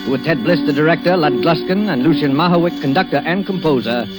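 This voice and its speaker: An actor playing a solemn narrator of suspense tales, formal and deliberate in 1940s radio style. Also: male voice, fairly high pitch, loud music behind the voice, lightly acted, poor audio. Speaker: narrator, host.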